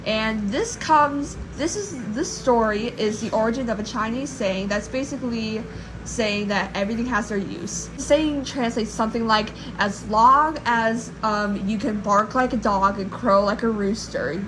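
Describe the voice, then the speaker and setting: A woman's voice: a little high, a little slow, noisy background. A woman casually retelling a legend to a co-host in a rambling, informal and playful way. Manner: informal, rambling, playfully acting out sounds and voices. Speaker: podcast host and storyteller.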